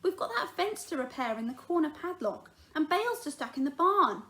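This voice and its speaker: A busy farmer mum from a storybook, played by a woman reader. She is firm, practical and caring, with a brisk delivery and a raised, lively pitch. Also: exaggerated voice.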